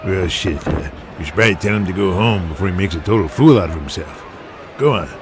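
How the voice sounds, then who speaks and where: Man voicing older father, low pitch, gruff, dismissive, pragmatic, audio drama, loud background noise. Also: expressive, fast. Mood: resigned. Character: stubborn, pragmatic older father, retired wrestler and promoter.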